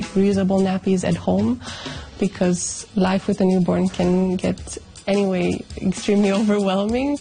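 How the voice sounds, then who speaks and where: A woman's voice: fairly low pitch, creaky, dark tone. A woman giving an informal interview answer as a parent.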